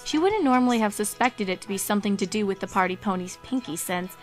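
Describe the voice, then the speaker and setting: A woman's voice: mid-range voice, expressive, quick pace. A woman reading scripted story narration as the narrator of a fan fiction audio drama. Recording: music behind the voice.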